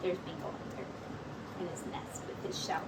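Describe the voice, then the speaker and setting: A woman reading a children's story aloud as a teacher, with expressive narration. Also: low-pitched, normal speed, recorded from a distance, very noisy.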